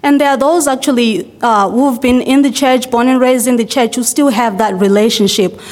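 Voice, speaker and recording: A woman's voice: fairly high pitch, moderate pace, a little mellow. A young woman from Zimbabwe giving a casual testimony to her church congregation, chatty and full of fillers. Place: church hall. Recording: long hall reverb.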